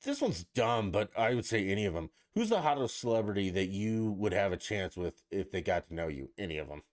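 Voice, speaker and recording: A man's voice: lowish voice, sing-song, a little fast. A man co-hosting a podcast, asking crude questions and bragging in casual banter, a little tipsy. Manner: brash, joking, boastful.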